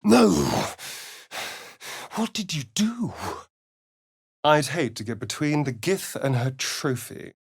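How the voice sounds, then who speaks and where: A sly vampire rogue for a fantasy video game. His delivery is flamboyant and unhurried, with wide, lively swings of pitch. He enunciates precisely, with an arch, teasing edge and a slightly husky voice in a very dry recording. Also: no echo, exaggerated voice. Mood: alarmed, disgusted, then dry, wry. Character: sly, theatrical male vampire spawn rogue, centuries old. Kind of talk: video game character voice lines and narration.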